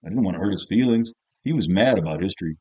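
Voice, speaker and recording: A man's voice: deep voice, animated, fast talker, dark tone, dry recording, dull audio. A man reading as a jaded, cynical teenage schoolboy, narrating an audiobook in the first person in a colloquial, grumbling, offhand way.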